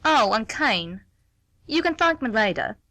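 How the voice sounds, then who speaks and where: Bright, animated, nosy mum played by a woman. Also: on the high side, a little slow, dry recording, heavily acted. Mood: breezy, cheeky. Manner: rambling, cheeky, easily affronted. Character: chatty, nosy middle-aged mother, prickly airport traveller. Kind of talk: amateur radio play acting.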